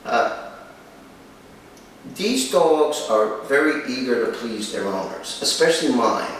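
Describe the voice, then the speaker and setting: Male host, medium pitch, firm instructive delivery in short phrases, recorded very echoey and distant from the mic. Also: animated, takes it slowly, fairly bright tone. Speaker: kennel owner and host. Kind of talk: presenting and interviewing for a dog training video. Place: room in a home. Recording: echoey room, distant mic, faint noise.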